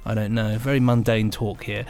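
A man's voice: lowish voice, level tone, moderate pace, a little mellow. Jokey British man, music behind him. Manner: jokey, self-mocking, chatty. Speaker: podcast co-host. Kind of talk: casual rambling podcast banter.

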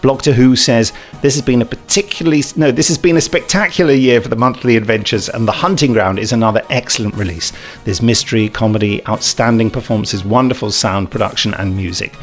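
Jokey podcast man, medium pitch. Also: a little fast, soft background music. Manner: jokey, giggly, stumbles while reading. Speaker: podcast host. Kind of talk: casual chat and reading out reviews.

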